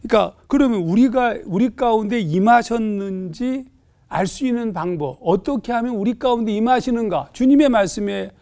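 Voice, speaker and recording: A male pastor talks through a scripture passage on a studio panel, pausing on fillers as he thinks. His voice sits high and has a gravelly edge. The tone stays mellow. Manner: thoughtful, explanatory, with hesitant fillers. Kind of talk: panel discussion explaining scripture.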